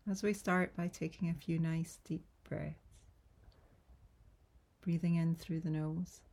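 Low, creaky, breathy woman guiding meditation. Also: flat delivery. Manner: soothing, gentle, unhurried with long pauses. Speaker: yoga nidra meditation guide. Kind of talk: guided relaxation meditation.

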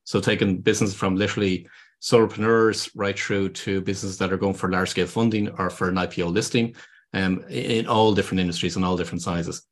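A man's voice: deep voice, steady tone, fast talker. A man with an Irish accent, a podcast guest and business consultant, explaining earnestly with frequent ums and restarts. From Ireland. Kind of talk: podcast interview.

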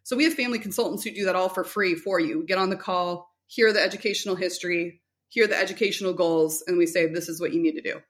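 A woman's voice: lowish voice, fast, few pauses. A middle-aged woman giving chatty, casual interview answers as a company representative, often telling anecdotes and quoting dialogue. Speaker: guest, homeschool curriculum company representative.

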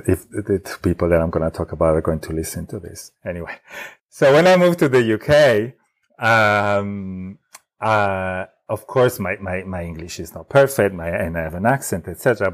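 A man from Italy with an Italian accent, telling a personal story as a podcast guest in a halting way with many restarts. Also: low-pitched. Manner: halting, many restarts and fillers, laughs often. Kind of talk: casual storytelling.

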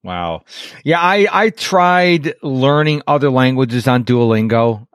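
A man's voice: mid-range voice, lively intonation, slow, smooth, no echo, clean studio sound. A middle-aged man hosting a podcast interview, chatty and self-deprecating, telling personal stories. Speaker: podcast host. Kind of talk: casual interview chat.

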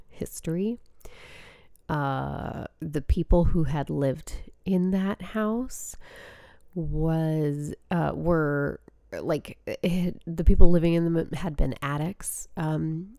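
A woman's voice: sits low, gravelly, mellow, dry recording, studio quality. A young adult woman hosting a podcast, telling a story in a rambling, casual way with frequent fillers, her nose stuffed up. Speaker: podcast host and spiritual coach.

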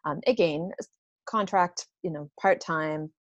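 A woman with a notably low-pitched voice speaks as an interview guest. She explains in an informal, conversational way, working things out aloud, in a very dry recording. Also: unhurried, dry recording, muffled recording. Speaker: business owner being interviewed.

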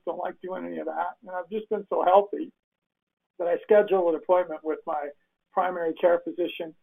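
An older man hosts a relaxed interview, his voice gravelly and a little husky. He rambles, restarting his sentences as he goes. The sound is thin and boxy, like a phone call. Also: a little high. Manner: rambling, many restarts and fillers. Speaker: interview host. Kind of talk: casual interview chat. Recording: phone-like remote call audio.

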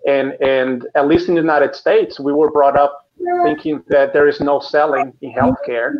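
A man's voice: a little high, normal speed, background noise. Brazilian-born man, muffled live-stream audio. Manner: earnest, repeats words when starting sentences. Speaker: canine physical therapist, guest. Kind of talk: casual interview chat.

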